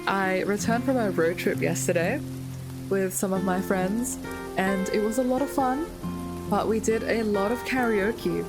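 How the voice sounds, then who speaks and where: A woman with a slightly hoarse voice, chatting casually through her gameplay with light puns and frequent ums. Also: medium pitch, measured pace. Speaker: gaming video creator. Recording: loud game music with rain sounds behind.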